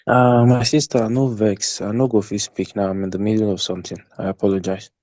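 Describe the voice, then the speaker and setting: A low, dark-voiced man with a Nigerian Pidgin accent speaks briefly and apologetically. The recording is dry and close. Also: little pitch movement, a little fast, dry recording. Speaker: caller.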